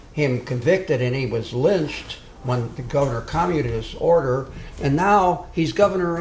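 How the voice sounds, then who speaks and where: A man giving an informal history lecture to a small live audience, speaking loudly and wandering into asides and restarts. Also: mid-range voice, roomy sound, slight background noise, low-quality recording. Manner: loud, digressive, with many restarts and asides. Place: meeting room with a live audience.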